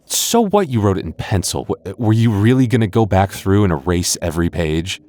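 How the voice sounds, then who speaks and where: A man's voice: sits low, sing-song, normal speed, darkish tone. A man voicing a playful, teasing young goth boyfriend in an ASMR roleplay, often stammering and chuckling. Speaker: voice actor.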